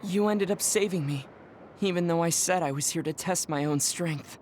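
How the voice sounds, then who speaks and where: A woman voicing a video game character, a small, child-looking man decades older than he seems, with formal, measured, earnest diction. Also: fairly low pitch, darkish tone, a little hiss or hum, lightly acted. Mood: reflective. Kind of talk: dubbed video game cutscene acting. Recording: music behind the voice.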